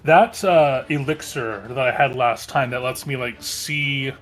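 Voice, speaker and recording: A man chatting casually as a player in a tabletop game, phrasing things haltingly. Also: mid-range voice, a bit bright, faint music behind. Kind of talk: casual chat during a game.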